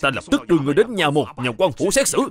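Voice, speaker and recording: An actor playing a suspicious, wealthy middle-aged master of the house, scolding and accusing in an animated drama. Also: male voice, fairly high pitch, racing. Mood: angry.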